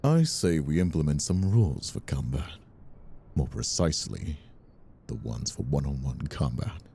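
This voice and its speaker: An actor playing a vicious, bitter mob rival in an audio drama, mocking and theatrical in his drawn-out menace. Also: rumbling low, expressive, vocal fry, mellow, breathy edge, full character voice. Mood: smug menace. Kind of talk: audio roleplay drama acting.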